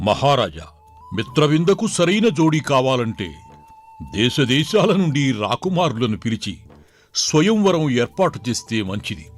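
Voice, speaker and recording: A sensible royal minister from a storybook, acted by a man, speaks with courteous deference. The formal delivery has lively pitch movement over faint music. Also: measured pace. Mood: deferential, advising.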